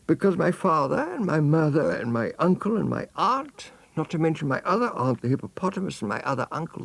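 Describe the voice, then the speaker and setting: A man's voice: on the high side, gravelly, dry recording, pitched above the performer's own voice, heavily acted. A man voicing an innocent, curious young elephant in a children's storybook, earnest and extremely polite. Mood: puzzled, earnest. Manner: earnest, over-polite, wordy.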